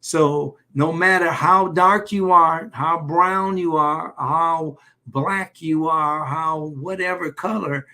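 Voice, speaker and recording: A man, a doctor, hosting a live health stream in a loose, halting way with many fillers and light jokes. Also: a little high, sharp tone. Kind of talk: casual livestream talk.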